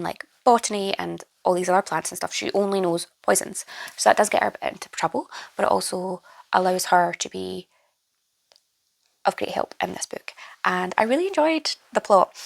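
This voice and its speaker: A young woman with a croaky voice from a cold, chatting casually about her reading with many fillers and restarts. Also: mid-range voice. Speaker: book vlogger. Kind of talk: casual reading wrap-up chat.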